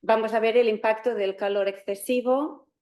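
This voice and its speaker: A woman's voice: fairly high pitch, fairly even intonation, normal speed, darkish tone. A woman from Catalonia in her forties, a native Catalan speaker, giving a university talk with some fillers and restarts. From Catalonia, Spain. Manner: self-introducing, explanatory, with fillers and restarts. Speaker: university lecturer. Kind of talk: academic talk to students.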